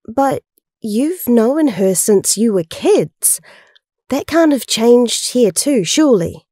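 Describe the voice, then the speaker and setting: A woman acting in an audio drama as a gentle, concerned young superhero. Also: fairly high pitch, expressive, slow pace, dry recording, studio quality. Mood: surprised, concerned. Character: Kat, young female Power Ranger, gentle, concerned.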